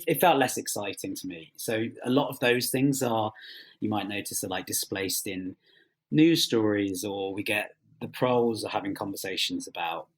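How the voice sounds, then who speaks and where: A man's voice: low voice, slightly rough. A man answering interview questions as a writer, thoughtful and hesitant, often rephrasing as he goes. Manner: hesitant, halting phrasing with many trailing rephrasings.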